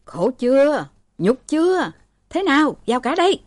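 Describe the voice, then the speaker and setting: Woman doing a bedridden old man, strained high wail, dark dry voice. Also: lively intonation, normal speed, dry recording, natural voice. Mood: anguished. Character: sick old man, bedridden father, bitter and shrill.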